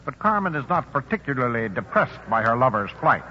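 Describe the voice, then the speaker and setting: A man's voice: mid-range voice, sing-song, a little fast, dull audio, a little hiss or hum. A man formally announcing and setting scenes in a 1940s radio drama broadcast. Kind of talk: scripted announcing.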